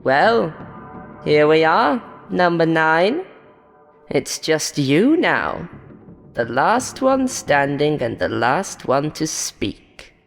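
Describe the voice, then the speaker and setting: A woman voicing a monstrous game show host in a horror story, falsely friendly and hateful, with a taunting, showy, drawn-out delivery. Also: low-pitched, creaky, big-room sound, heavily acted. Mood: smug, commanding.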